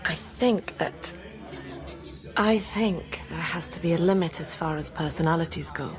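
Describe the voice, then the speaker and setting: A woman's voice: deep voice, unhurried, a crowd in the background. An actress playing a cool, independent Cold War intelligence woman in a radio drama, composed, direct and probing. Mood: cool, measured. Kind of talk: radio spy drama acting.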